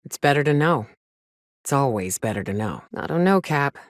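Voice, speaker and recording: An actress playing a hard-drinking, trigger-happy but loyal frontier mercenary in a video game, with folksy, salty, sardonic phrasing. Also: female voice, very deep voice, very animated, moderate pace, dry recording, studio quality. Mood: dry, reflective. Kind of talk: video game character dialogue.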